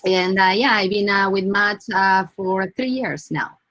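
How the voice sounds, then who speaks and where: A woman from Argentina with an Argentine accent, introducing herself as a marketing panelist on a video call, relaxed, with fillers. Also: medium pitch, unhurried, dry recording.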